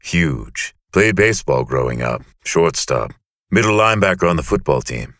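An actor playing a suave, flirtatious, polite male surgeon with a deep, husky voice in a romantic comedy audio drama. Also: lively intonation, normal speed, a bit bright, no echo, clean studio sound, full character voice.

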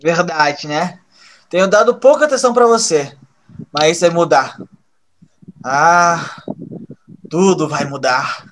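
An actor playing a resentful young male hacker in an audio drama, halting at times. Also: on the high side, fairly bright tone. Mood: subdued, affectionate. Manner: halting, repeats words when pressed.

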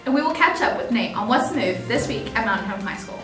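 Teen girl anchor, higher voice, brisk reads, distant mic. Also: animated, reverberant, over music. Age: teens. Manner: brisk read-aloud delivery. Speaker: student news anchor. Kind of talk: scripted news anchoring. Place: school studio news desk.

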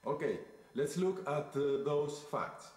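A man's voice: a little high, expressive, slow pace, darkish tone. A man in his forties with a Spanish accent, a native Spanish speaker, explaining facts on a video. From Spain. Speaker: statistics specialist. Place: indoor room, played into lecture hall. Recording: echoey playback through room speakers.

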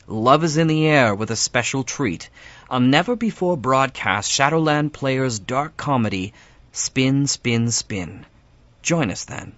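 A man's voice: mid-range voice, a bit bright, no room sound. A man hosting a show, reading a scripted sign-off. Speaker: host. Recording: dull lo-fi audio with faint music.

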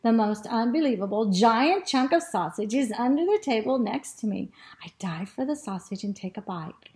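A woman voicing an excitable, greedy male dog in a children's storybook, with exclamations and drawn-out words. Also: a little high. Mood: greedy, frantic. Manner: exclamatory, drawn-out words and short repeated sniffing phrases. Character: excitable, greedy male dog narrating his food adventures. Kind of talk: expressive children's storybook reading.

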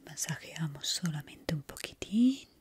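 A young woman from whose comes a soft, breathy, gentle whisper, playing a barber in an unhurried ASMR roleplay close to the mic. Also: deep voice, animated. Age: young adult. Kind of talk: ASMR roleplay, personal attention. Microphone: close ASMR microphone.

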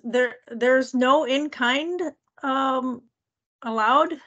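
Female webinar attendee, somewhat high-pitched, asking casual questions over a video call. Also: extremely slow, a bit bright, highs cut off. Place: indoor room, on a video call. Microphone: video call microphone.

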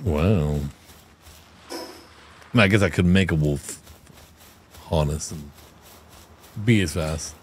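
A man's voice: very deep voice, slow, long pauses, slight background noise. A man in his twenties hosting a game stream, chatting casually, laughing often and thanking viewers. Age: 20s. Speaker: streamer host. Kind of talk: casual gameplay chat.

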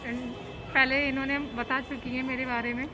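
A woman's voice: high-pitched, level tone, normal speed. A woman joining her friend's vlog, chatting playfully on camera outdoors. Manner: playful, joking. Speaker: vlogger's friend and guest. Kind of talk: casual chat on camera. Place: outdoors at a mosque. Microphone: friend's phone camera at arm's length. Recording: noisy outdoor phone recording.

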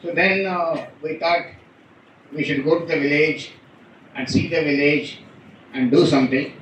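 A man's voice: a little high, steady tone, measured pace. A middle-aged man with an Indian accent giving a commemorative speech at a podium as a guest speaker. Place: auditorium, indoors. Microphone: podium microphone, recorded from far camera. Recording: echoey hall from far off, noisy.

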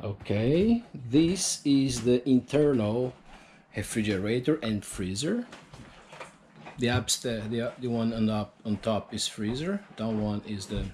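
A man's voice: mid-range voice, darkish tone, a little hiss or hum. A man with a Brazilian Portuguese accent, a non-native English speaker, showing his catamaran on a handheld camera walkthrough. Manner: slow, halting, proud, shows each item and lists features. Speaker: boat owner giving a tour. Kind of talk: walkthrough tour of a sailing catamaran. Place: on board a catamaran, on deck and in the cabin. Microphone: handheld action camera.

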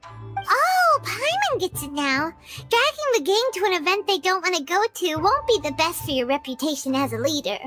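Tiny squeaky fairy girl. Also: very animated, normal speed, bright, forceful tone, faint music behind, heavily acted. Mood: curious, skeptical. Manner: perky, exclaiming, refers to herself in third person. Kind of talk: cute video game character acting.

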